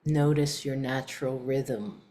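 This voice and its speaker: A woman leading a guided meditation in a calm, unhurried, soft-spoken way with long pauses. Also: rumbling low.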